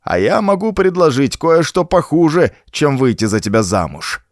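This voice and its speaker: A man voicing a frightened, bullying old man in an audiobook, hurried, nervous and imperious. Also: a little high, sing-song, no echo, studio quality, pitched above the performer's own voice. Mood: pushy, impatient.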